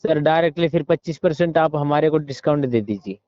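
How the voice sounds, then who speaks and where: A man's voice: on the high side, fast talker, warm tone, no echo. An Indian man on a muffled recorded phone call, negotiating informally in an insistent, repetitive way with English words mixed in. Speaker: phone caller posing as a soldier buyer. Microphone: phone line.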